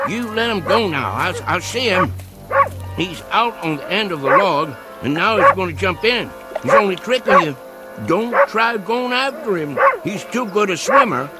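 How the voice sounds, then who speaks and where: An actor playing an old rural American hunter in a radio drama, folksy and rambling, talking fondly to his hound. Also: very high-pitched. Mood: alarmed, urgent. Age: older.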